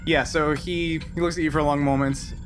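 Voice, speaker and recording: A man running a tabletop game, narrating casually and haltingly as game master. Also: a little high, flat delivery. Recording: clear background noise.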